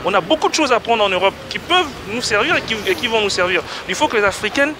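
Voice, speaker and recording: A middle-aged man giving a measured, lecturing answer in a street interview, repeating words for emphasis, on a busy city street. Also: high-pitched, lively intonation. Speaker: passerby interviewee. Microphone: interviewer's handheld phone. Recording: phone audio with street noise.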